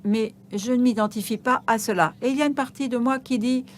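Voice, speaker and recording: Woman, live interpreter, halting delivery, frequent fillers and restarts, slightly high voice. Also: steady tone, slight background noise. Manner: halting, with many hesitations and restarts.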